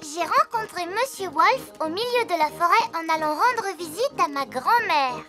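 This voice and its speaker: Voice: very high voice, expressive, normal speed, loud music behind the voice. A performer voicing a cheerful, bossy little girl pig in a French preschool cartoon, full of childlike exclaiming. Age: child character.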